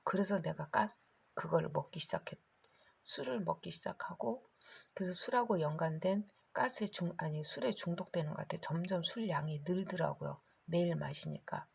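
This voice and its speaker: A middle-aged woman talks into her phone in a long, rambling, anxious monologue. Her voice is low and very gravelly, with a husky, breathy edge, and she sounds hoarse. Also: moderate pace, a little mellow. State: hoarse, keeps clearing throat. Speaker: vlogger. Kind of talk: casual monologue to camera.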